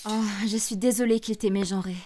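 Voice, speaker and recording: A woman playing an anxious, self-deprecating young university dropout in an animated comedy dub, dry, rambling and resigned. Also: medium pitch, fairly quick, noisy background, close to an everyday voice. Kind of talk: animated slice-of-life comedy fan dub.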